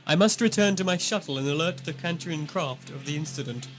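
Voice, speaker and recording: A man's voice: fairly high pitch, fairly quick, a bit bright, faint music behind, natural voice. A man playing a formal, honourable alien ambassador with a dry, stately manner in a sci-fi audio drama. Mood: dignified.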